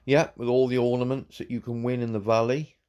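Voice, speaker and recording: A man, croaky from a cold, giving halting, warmly praising commentary on a farm game. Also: mid-range voice, level tone. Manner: halting, repeats words, warm praise. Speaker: farm game channel host. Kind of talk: casual gameplay commentary.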